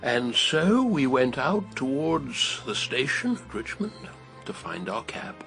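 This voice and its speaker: An actor playing an elderly, wry English writer with a creaky voice, reminiscing in a radio drama. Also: male voice, medium pitch, very animated, slow, fairly bright tone, soft background music, full character voice, poor audio. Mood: reflective. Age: older. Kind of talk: radio drama acting and narration.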